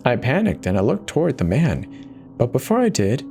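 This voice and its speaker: A man's voice: mid-range voice, wildly swooping pitch, normal speed, creaky, music in the background, voice pushed up. A man voicing a self-justifying, conversational narrator who casually confesses in a first-person dramatic horror reading. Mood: uneasy recounting. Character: confessional devout man turned self-eater, casually rationalizing.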